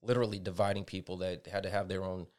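A man's voice: deep voice, flat delivery, fast talker, darkish tone. A man co-hosting a podcast, agreeing often with short replies and swearing now and then in a casual opinion chat. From United States. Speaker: podcast co-host.

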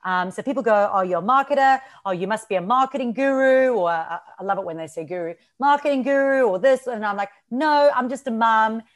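A woman's voice: fairly high pitch, brisk, few pauses. A woman from South Africa with a mixed South African and Australian accent, chatting as a podcast guest with frequent ums and laughs. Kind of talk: casual interview chat.

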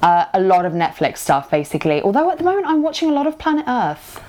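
A woman's voice: medium pitch, sing-song, fast, a little mellow. A woman actor chatting as an interview guest, rambling and giggling, with lots of fillers. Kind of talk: casual interview chat.

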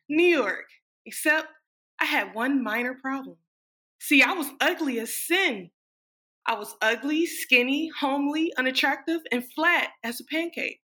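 A woman's voice: high-pitched, animated, moderate pace, pushed and bright. A woman playing a brash, wisecracking young dancer from a small town in a sassy, sardonic stage monologue. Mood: wry, boastful. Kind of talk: dramatic stage monologue reading.

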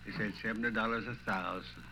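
A man's voice: lowish voice, steady tone, fast talker, over music. An older man with a Tennessee Southern accent tells stories from his life in a folksy way, acting out remembered conversations. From Tennessee, United States. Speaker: retired small-town banker telling his memories. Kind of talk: oral history storytelling.

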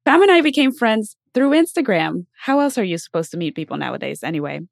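A woman speaking as a podcast host, upbeat and expressive, addressing people directly in a conversational way. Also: medium pitch, fast talker, vocal fry, dry recording, studio quality. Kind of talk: podcast intro and interview chat.

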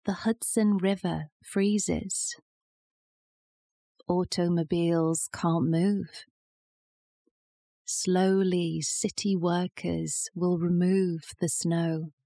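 A woman reciting poetry in a measured, deliberate style. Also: lowish voice, little pitch movement, gravelly, dark tone, dry recording, studio quality. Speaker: poetry reader.

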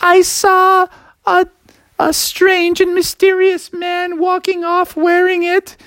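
A woman voicing a male fly who buzzes between his slow, drawn-out words. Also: very high-pitched, completely flat delivery, a bit bright, no room sound, pitched above the performer's own voice, exaggerated voice. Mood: buzzy. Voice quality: buzzing hiss. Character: buzzing talkative fly, male, sugar-loving.

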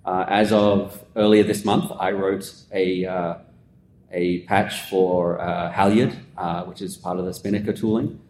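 An Australian man with a low voice talks through ideas in a loose, rambling way, full of ums and restarts. He is recorded in an echoey room at a conference, with a faint rumble behind him. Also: measured pace. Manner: relaxed, rambling explainer with many fillers. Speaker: guest. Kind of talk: casual conversational interview. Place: conference venue.

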